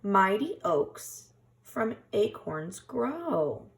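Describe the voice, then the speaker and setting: A woman voicing a kindly, reassuring male badger in a gentle way for a children's storybook. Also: mid-range voice, sing-song, very slow, vocal fry, heavily acted. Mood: pleased. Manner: gentle, comforting. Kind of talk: children's storybook read-aloud.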